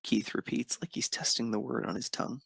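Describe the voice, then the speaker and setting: A man narrates romantic fan fiction with expression. His mid-pitched voice is strongly creaky and husky. The sound is dry, with no room around it. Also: steady tone, a little fast, fairly bright tone, no echo.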